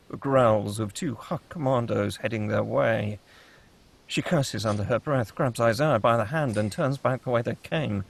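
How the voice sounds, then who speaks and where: A man's voice: medium pitch, creaky. A man reading scripted stage directions as the narrator of a screenplay table read.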